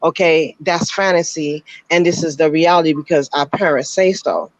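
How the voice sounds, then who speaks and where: A woman calling into a live show, her voice raspy from just waking up, talking earnestly with halting restarts. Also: deep voice, no echo. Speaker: caller. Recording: dull, dry call-in line.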